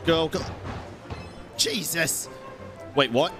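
A man's voice: on the high side, extremely slow. A man giving casual, reactive live gameplay commentary to viewers. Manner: reactive, excitable, addresses viewers, swears. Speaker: game streamer. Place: indoor streaming setup. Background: game music.

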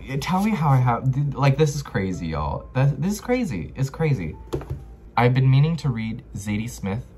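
A man's voice: medium pitch, very animated, moderate pace, darkish tone, slight background noise. A young American man chatting casually to camera as a book vlogger, slangy and given to dramatic emphasis. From Los Angeles, United States. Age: young adult. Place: small bedroom, indoors.

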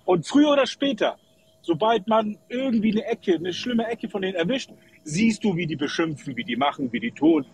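A man's voice: high voice, sing-song, a little fast, vocal fry, darkish tone, a little hiss or hum, low-quality recording. A man from Kosovo calling into a livestream, chatting in an opinionated, colloquial way and repeating himself for emphasis. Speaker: live call-in guest. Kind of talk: casual opinionated chat.

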